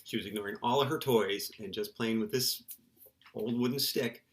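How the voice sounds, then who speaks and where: A man's voice: fairly low pitch, moderate pace. A middle-aged man, a children's book author, giving casual, playful interview answers in simple terms for young listeners.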